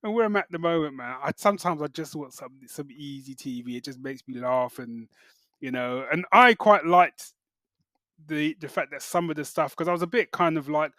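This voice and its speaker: A man's voice: on the high side, moderate pace, bright, forceful tone, no room sound. A man co-hosting a podcast in casual, enthusiastic, colloquial talk. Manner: colloquial, enthusiastic, acts out quotes, often ends phrases with "man". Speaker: podcast co-host. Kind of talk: casual chat, reviewing television.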